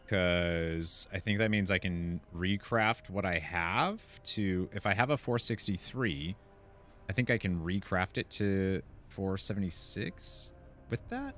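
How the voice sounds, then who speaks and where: A man's voice: low voice, takes it slowly, fairly bright tone. A man doing casual game commentary, thinking aloud and reading quest text out. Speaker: gaming content creator. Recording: faint game music behind. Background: game music and sounds.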